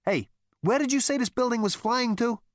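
A brash space rogue from a comic sci-fi audiobook, acted by a male reader. He is pitched well up and swings about wildly, flippant and indignant, in a dry, close recording. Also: sing-song, brisk, dry recording. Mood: demanding. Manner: flippant, slangy, incredulous. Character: brash, demanding, food-obsessed man, indignant space rogue. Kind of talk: audiobook character voice.

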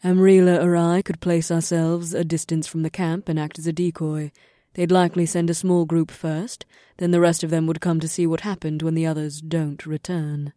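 A vengeful warrior woman, played by a female narrator speaks in a very even, dark tone. Her voice is mellow and slightly low, recorded dry, suited to a fantasy audiobook. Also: normal speed, hardly any pauses, no echo, close to an everyday voice. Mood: darkly scheming. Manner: flat, dark. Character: horned female fantasy warrior, flat-toned and coldly vengeful.

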